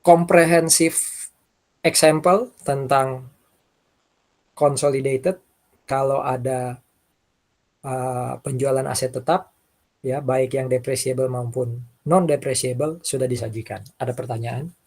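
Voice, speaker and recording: A man with a husky voice, lecturing informally and talking through worked examples, often checking in with short tags. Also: medium pitch, fairly even intonation, slow, long pauses, darkish tone. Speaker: accounting lecturer.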